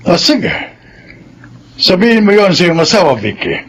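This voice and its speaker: An actor playing a regretful, grave old grandfather with a gravelly voice in a Filipino radio drama. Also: high voice, sing-song, moderate pace, slight background noise. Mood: agreeable. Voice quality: gravelly, creaky. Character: regretful old grandfather, a retired-generation doctor.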